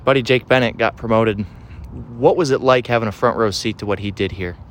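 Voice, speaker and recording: A man's voice: on the low side, a little fast, a little hiss or hum. A man hosting a baseball pregame show, reading intros and asking questions in an upbeat, scripted announcer style. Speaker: radio host.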